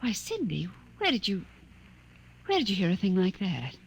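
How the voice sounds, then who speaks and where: An indulgent, polite mother, speaks gently with lively intonation. Her voice sits at a middle pitch and has a slightly hesitant, soothing way. It sounds like vintage radio drama with clear background noise. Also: normal speed. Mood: gentle concern. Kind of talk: 1950s radio drama acting. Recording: noisy old 1950 radio recording.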